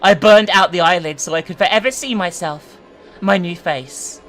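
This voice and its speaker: A woman's voice: lowish voice, normal speed, faint music behind, lightly acted. A woman voicing a crazed, gloating teenage boy killer in a dramatic horror story reading. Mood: creepy, gloating. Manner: unhinged, gloating.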